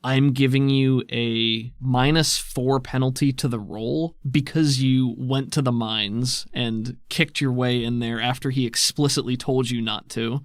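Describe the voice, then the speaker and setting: A man's voice: medium pitch, fairly even intonation, a little slow, few pauses, dry recording, clean studio sound. A man running a tabletop role-playing game as game master, describing scenes in detail and laughing easily.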